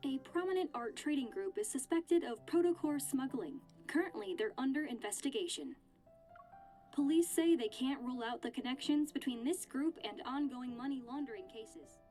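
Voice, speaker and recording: A woman voicing a formal news reporter in a game, reading a measured report. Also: high-pitched, expressive, dark tone, faint music behind.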